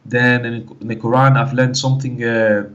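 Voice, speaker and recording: A man with a Spanish accent from the Canary Islands, a guest in a casual interview, speaking haltingly and filling pauses with "like, you know". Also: mid-range voice, level tone, fairly bright tone, highs cut off. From Canary Islands, Spain.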